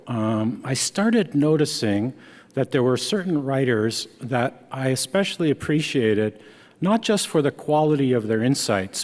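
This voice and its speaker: A man's voice: medium pitch, darkish tone, in a large hall. A man in his sixties from Ontario, Canada, whose first language is Dutch, giving a conversational author talk with frequent restarts. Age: 60s. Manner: conversational, halting with repeated restarts. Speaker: author and speaker. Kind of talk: book reading talk.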